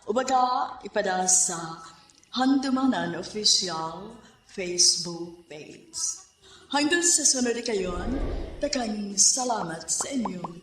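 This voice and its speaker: A woman's voice: medium pitch, animated, takes it slowly, fairly bright tone, roomy sound, far from the mic, slight background noise. A woman with a Cebuano Filipino accent announcing a radio drama programme from a script.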